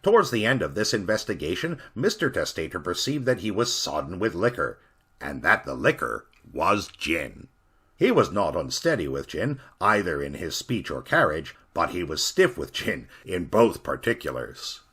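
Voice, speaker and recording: A man narrating a Victorian ghost story in a theatrical, expressive storyteller manner. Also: low voice, moderate pace, breathy edge.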